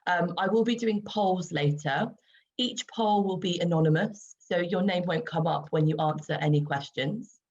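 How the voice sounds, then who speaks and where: A low-pitched, croaky woman in her forties talks casually to viewers over a video call, filling pauses with ums. The sound is dull and lo-fi, like laptop call audio. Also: a little mellow. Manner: chatty, many ums, light laughs. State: croaky voice after throat infection. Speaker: webinar host and skincare expert. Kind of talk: casual online presentation.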